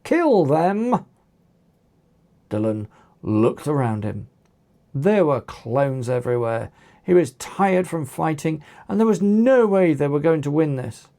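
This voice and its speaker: A narrator reading an action story as its author, with animated, dramatic delivery and acted dialogue. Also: medium pitch, a little slow. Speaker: author narrating own book.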